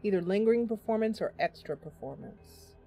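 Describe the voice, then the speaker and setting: A woman's voice: mid-range voice, animated, fairly quick, mellow, soft background music. A woman hosting a game stream, giving casual live commentary and thinking aloud through her choices as she chats to viewers. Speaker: game streamer, host of the channel.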